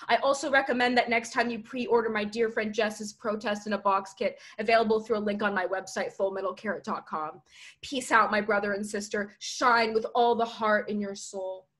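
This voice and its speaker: A woman's voice: mid-range voice, fairly bright tone, natural voice. A woman voicing a serene, gushing male vegan guru with an earnest, preachy, lofty manner in a story.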